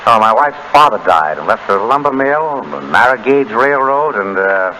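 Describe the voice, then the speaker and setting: A man's voice: mid-range voice, expressive, moderate pace, pushed and bright. A man acting a nonchalant, teasing retired detective in a 1930s radio drama. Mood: easygoing, dry. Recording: very noisy old 1930s radio recording.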